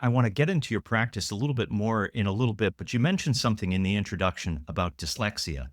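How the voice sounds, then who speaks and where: A man's voice: fairly low pitch, expressive, fast, dry recording, studio quality. A man hosting a podcast, moving between prepared introductions and conversational interview questions.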